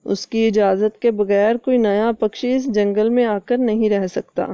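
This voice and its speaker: A woman's voice: medium pitch, fairly even intonation, unhurried, warm tone, clear, resonant tone, no room sound, studio quality, lightly acted. A woman voicing a helpful, gullible male crow in a cartoon, with a trusting, conversational manner.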